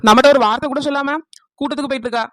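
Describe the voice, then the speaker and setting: A high, quick cartoon voice from a woman for a bossy village wife with a southern Tamil Nadu accent. She pries, teases and needles sarcastically, in a dry recording. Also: steady tone, no echo, lightly acted. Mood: suspicious, confronting. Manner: brisk, confrontational, teasing. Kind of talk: cartoon village comedy dialogue.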